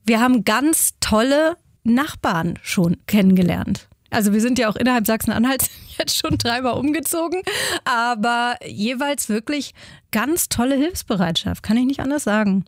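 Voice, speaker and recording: Female radio co-host from near Bremen, upbeat quick talker, slightly high voice, dry booth. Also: dry recording. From Lower Saxony, near Bremen. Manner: quick, cheerful storytelling. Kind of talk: lively radio chat.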